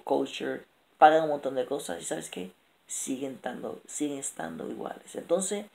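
A man in his thirties talks into a camera held close in a small room at night. His voice is deeply gravelly, sits at an ordinary pitch, and drives a lively, repetitive motivational pitch. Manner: energetic, gesturing a lot, repetitive lists. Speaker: author and motivational speaker. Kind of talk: late-night motivational vlog.

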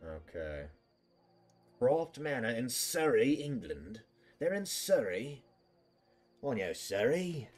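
Guy streaming a game, casual sweary commentary, slow pace, game music behind him. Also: mid-range voice, sing-song, a little mellow. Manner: swears freely, blurting short reactions. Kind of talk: casual play-along commentary. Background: game music and dialogue.

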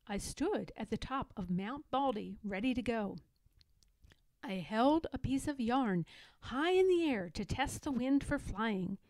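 A woman reading a children's story aloud in an expressive, dramatic way, leaning on key words. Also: on the high side, a little slow, no echo. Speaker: storybook reader.

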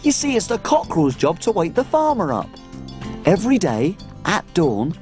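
A tiny, cheerful cook puppet on a children's show, all exclamations and sing-song swoops. The delivery is slow and deliberate, with a voice that leaps widely up and down. Soft music plays faintly underneath. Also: medium pitch. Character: small excitable cook puppet, cheerful and storytelling.

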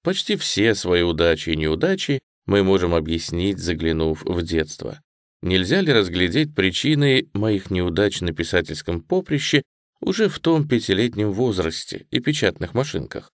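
A man reading a first-person audiobook as scripted narration, with lively phrasing that trails off softly at the ends. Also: deep voice, normal speed, dry recording, studio quality.

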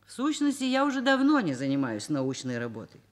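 A woman's voice: low voice, huge pitch swings, fairly quick. An actress playing a middle-aged woman professor in a radio drama, wry, reflective and matter-of-fact, exasperated yet indulgent. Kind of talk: radio drama acting and first-person narration.

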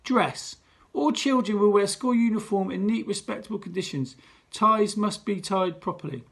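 A man's voice: sits high, normal speed, gravelly, fairly bright tone, husky, voice pushed up, heavily acted. A man voicing a terrified female teacher reading stiffly and carefully. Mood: nervous.

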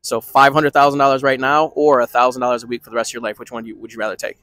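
A man in his thirties interviewing people on a city street with a handheld mic, quick-talking and repeating short affirmations. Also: mid-range voice, level tone, a bit bright, dry recording. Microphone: handheld microphone.

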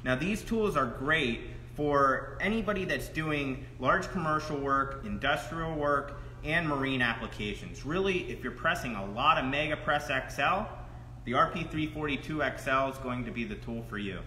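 Bright, forceful man presenting in a hall. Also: medium pitch, normal speed. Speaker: product presenter. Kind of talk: live product presentation. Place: trade show booth in a large indoor hall. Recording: roomy echoing hall with faint noise.

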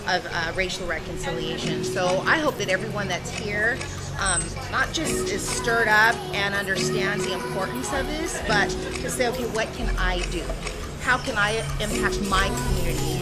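A middle-aged woman with an American accent, a talk show host, answering in a red-carpet interview. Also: medium pitch. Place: red carpet press wall at a gala. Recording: loud music behind.

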